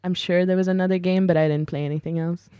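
Joking woman guest, lowish voice. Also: fairly even intonation, smooth, dry recording. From Pakistan. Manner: quick, joking storytelling with dark humour. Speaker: podcast guest. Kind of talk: casual chat.

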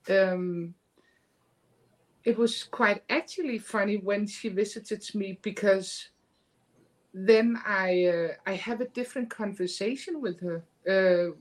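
A woman sharing a personal account in conversation as a guest, haltingly, searching for her words. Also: medium pitch, low-quality recording. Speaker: guest, medium.

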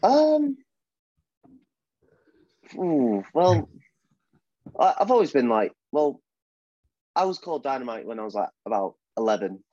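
A man's voice: mid-range voice, sing-song, measured pace, long pauses, mellow, no room sound. A young man with a British accent, a wrestler guest in a casual podcast interview, talking with lots of fillers and restarts. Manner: hesitant, many fillers and restarts. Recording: dull, dry, lo-fi sound.

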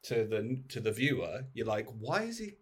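A man's voice: medium pitch, sing-song, normal speed, husky. A man in an informal, rambling, sweary chat about films, acting out imagined lines as he goes. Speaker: podcast host. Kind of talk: casual film chat.

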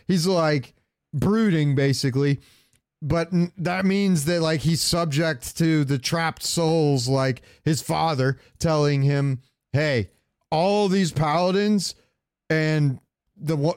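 A man chatting as a gaming podcast host, his voice a bit higher than average. He is opinionated and swears freely as he rambles, and the sound is very dry, with no room to it. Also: no echo. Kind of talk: casual gaming chat.

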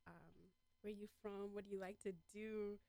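A woman's voice: medium pitch, fairly even intonation, normal speed, creaky, dark tone, dry recording. A woman hosting a radio show, chatting casually with a guest in an interview.

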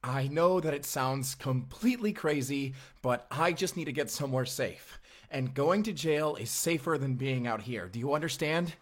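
A man's voice: mid-range voice, expressive, moderate pace, hardly any pauses, natural voice. An actor playing a desperate, foul-mouthed young man on the run in an audio drama. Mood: frantic, pleading. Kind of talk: first-person immersive audio drama acting.

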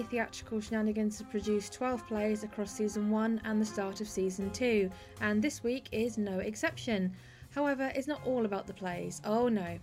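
A woman's voice: medium pitch, darkish tone. A woman with an English accent, speaking as the host of an arts podcast in introductions and interview questions. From Devon, UK.

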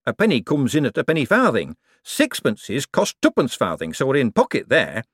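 A man's voice: medium pitch, huge pitch swings, moderate pace, fairly bright tone, slightly rough, no echo, clean studio sound, voice pushed up, full character voice. A man voicing a sly, working-class male mint foreman who uses broad dialect grammar and keeps saying sir. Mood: wheedling.

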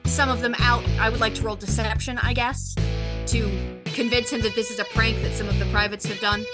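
Woman player, fairly high voice. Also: flat delivery, brisk, over loud music. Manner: chatty, hesitant. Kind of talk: casual tabletop play.

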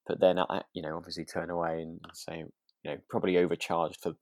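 British male co-host, low register, dry sound, relaxed but halting conversational delivery. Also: no echo. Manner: hesitant, often repeats words mid-sentence. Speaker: podcast co-host. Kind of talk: relaxed chat discussing a radio drama.

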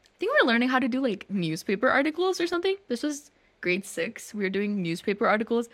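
A woman's voice: on the high side, sing-song, fast, fairly bright tone. A young woman from Nepal co-hosting a casual chat. She talks in loose, rambling sentences full of fillers and restarts. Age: young adult. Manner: chatty, many fillers and restarts. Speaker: co-host.

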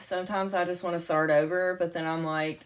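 A woman in her late twenties with a slight lisp. She speaks in a somewhat low voice, animated and jokey, swinging between loud and quiet in casual podcast chat. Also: brisk. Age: late 20s. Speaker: podcast co-host.

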